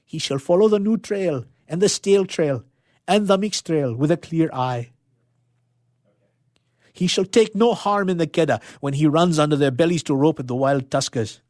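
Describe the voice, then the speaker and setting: A man's voice: fairly high pitch, moderate pace, darkish tone, no echo, studio quality, pitched above the performer's own voice, lightly acted. A man voicing a proud old elephant driver chief, making a grand declamatory speech in a storybook reading. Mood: proud proclaiming.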